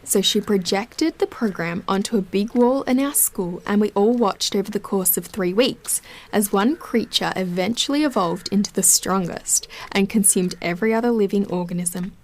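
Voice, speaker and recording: A woman's voice: medium pitch, moderate pace, natural voice, poor audio. An actress playing a guarded, matter-of-fact young woman in an audio drama, speaking casually. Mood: reminiscing.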